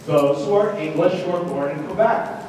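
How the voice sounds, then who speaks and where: A man with a fairly high voice gives a conversational university lecture, tossing out rhetorical questions. His voice rings through a very echoey hall and is picked up at a distance from the microphone. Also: moderate pace. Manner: animated, rhetorical questions and check-ins. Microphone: distant room mic. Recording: roomy echoing lecture hall, distant mic, noisy.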